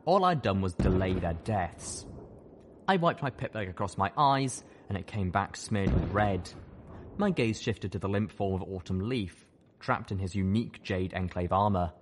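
A man's voice: sits low, lively intonation, moderate pace, background noise. A man reading first-person fiction as a dramatic audiobook narrator.

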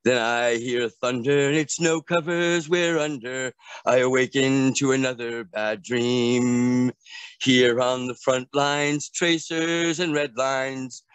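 A man's voice: mid-range voice, fairly bright tone, clean tone, no echo. A middle-aged man performing his song lyrics in a lilting, half-sung recitation on a video call from outdoors in a garden. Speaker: performer at an open mic. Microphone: video call from a handheld device.

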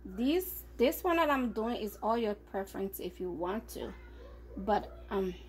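A woman's voice: medium pitch, animated, unhurried, darkish tone, a little hiss or hum, rough recording. A woman chatting casually to her viewers while she cooks in her home kitchen, upbeat and talkative. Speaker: cooking vlogger. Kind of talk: casual cooking chat.

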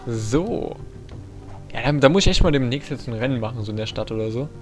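A young man giving casual, excitable video game commentary, talking to himself with playful exclamations. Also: medium pitch, fairly quick, faint music behind. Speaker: let's play host.

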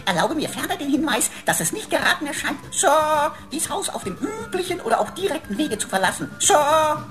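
A woman's voice: high-pitched, sing-song, fairly quick, over music. A woman playing a cheeky, chattering computer sidekick in a radio play, mock-servile with pompous wordplay. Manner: pompous mock-formal phrasing, wordplay, sing-song flourishes.

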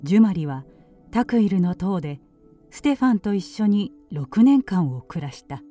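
A woman's voice: medium pitch, expressive, moderate pace, dark tone, soft background music. A woman giving scripted narration for a radio drama.

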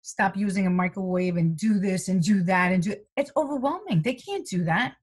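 A woman's voice: mid-range voice, normal speed, breathy edge. A middle-aged woman from the United States, a health coach being interviewed over a video call at home, rambling along with many fillers. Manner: rambling, lively, many "you know" fillers, frequent laughs. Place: small room at home, indoors. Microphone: webcam on a video call.

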